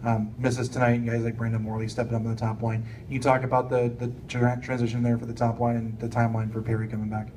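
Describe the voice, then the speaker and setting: A man's voice: mid-range voice, completely flat delivery, quick pace, hardly any pauses, darkish tone. A man working as a sports reporter, putting questions at a press conference. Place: indoor press conference room. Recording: distant mic, faint room noise.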